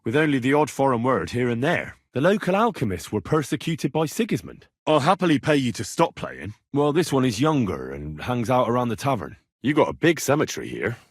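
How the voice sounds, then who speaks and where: Casting: earnest young medieval retainer, slightly rough voice, expressive delivery, conversational game dialogue, close dry sound. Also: male voice, mid-range voice, brisk, hardly any pauses, no room sound. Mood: polite, inquisitive. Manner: colloquial, conversational, inquisitive. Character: earnest, plain-spoken young medieval man in a lord's service. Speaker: video game protagonist. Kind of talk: naturalistic game dialogue acting.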